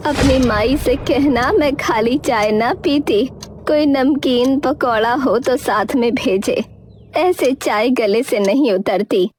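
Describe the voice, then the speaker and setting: A woman's voice: high-pitched, slow pace, darkish tone, a little hiss or hum. A woman voicing a demanding, complaining old village woman in a children's cartoon. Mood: grumbling. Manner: complaining, bossy. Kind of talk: Hindi children's cartoon voice acting.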